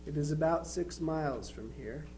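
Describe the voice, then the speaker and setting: A man playing a plainspoken twenty-three-year-old Union soldier, reading his affectionate letters home in an unhurried, matter-of-fact way. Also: fairly high pitch, dark tone, close to an everyday voice. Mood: fond, conversational. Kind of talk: dramatized reading of historical letters. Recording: faintly noisy live recording with hum.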